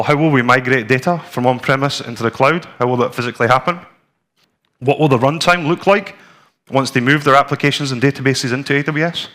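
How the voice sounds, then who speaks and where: A Scottish man in his forties presents at a tech conference, talking the room through slides and a live demo. His delivery is relaxed and conversational, with plenty of ums and restarts. He speaks at a middle pitch in a plain, easygoing way. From Scotland. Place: conference room with a screen and audience.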